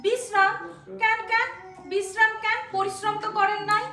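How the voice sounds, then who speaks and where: A woman's voice: very high voice, steady tone, moderate pace, bright, forceful tone, soft background music. A woman playing a bossy, hot-tempered village housemaid with an East Bengali accent in a comic audio drama. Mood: indignant. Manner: insistent, nagging, argumentative. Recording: roomy, distant mic with faint noise.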